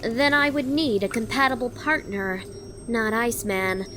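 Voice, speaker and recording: Woman as an earnest, higher-voiced female robot. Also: normal speed, a bit bright, slight background noise, close to an everyday voice. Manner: formal, literal, hesitant. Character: earnest, naive young female robot puzzled by emotion. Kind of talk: comic dub voice acting. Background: prominent music.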